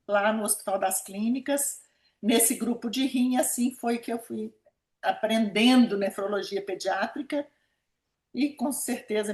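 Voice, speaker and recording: A woman of about seventy with a Brazilian Minas Gerais accent, recalling her life in a conversational interview and repeating words for emphasis. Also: fairly high pitch. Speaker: interviewee, pediatric nephrologist. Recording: echoey room sound.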